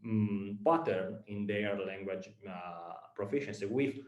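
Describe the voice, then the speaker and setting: A man from Italy with an Italian accent, a startup founder giving a talk to students, speaking haltingly with many fillers and repeated words. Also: fairly low pitch, echoey room.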